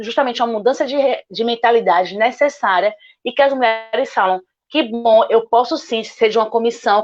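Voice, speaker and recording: Earnest Bahian woman on a muffled call. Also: on the high side. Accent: Bahian Portuguese. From Salvador, Bahia, Brazil. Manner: earnest, argumentative, repeats "justamente". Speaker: guest speaker, lawyer. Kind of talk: online panel Q&A answer.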